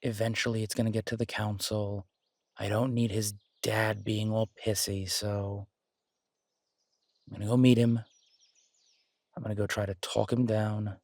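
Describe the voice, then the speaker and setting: A man playing a confident, protective alpha werewolf boyfriend in an intimate roleplay audio drama, husky and soothing, teasing and reassuring in a casual way. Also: fairly low pitch, level tone, a little slow, pauses often, a little mellow, dry recording.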